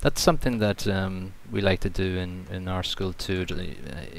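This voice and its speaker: A man's voice: deep voice, normal speed, creaky. A man from Ireland hosting a casual interview, easygoing and trailing off into agreeing fillers. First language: Irish. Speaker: podcast host.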